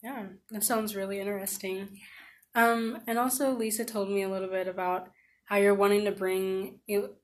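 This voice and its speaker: A woman's voice: mid-range voice, flat delivery, normal speed. Young woman hosting a student podcast. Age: young adult.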